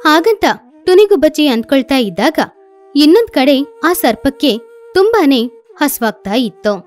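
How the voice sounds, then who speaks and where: A woman's voice: high-pitched, big pitch swings, normal speed, faint music behind. A woman narrating a scripted children's story.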